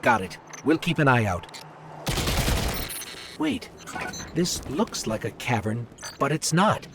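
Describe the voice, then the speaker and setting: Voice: mid-range voice, very animated, takes it slowly, vocal fry, noisy background, exaggerated voice. A man playing an earnest, alert, caring companion in a video game, speaking expressively. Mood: alert, determined. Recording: music underneath. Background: music.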